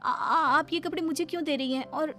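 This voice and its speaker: A woman's voice: sits high, fairly even intonation, a little fast, pushed and bright, pitched above the performer's own voice. A woman voicing a meek, dutiful young widow in a storybook tale, timid and questioning. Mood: timid, puzzled. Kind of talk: storybook character dialogue. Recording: background music under the voice.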